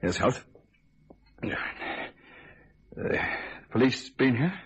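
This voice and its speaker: An actor playing a shifty, defiant criminal in a radio thriller, brusque and sardonic. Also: male voice, mid-range voice, expressive, extremely slow, husky, heavily acted. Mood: grim, tense.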